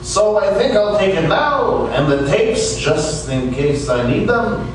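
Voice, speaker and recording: A man playing a high-pitched, double-crossing crook. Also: sing-song, unhurried, slight background noise. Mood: smug. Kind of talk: stage radio-play comic crime acting. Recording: echoey, distant stage recording with music.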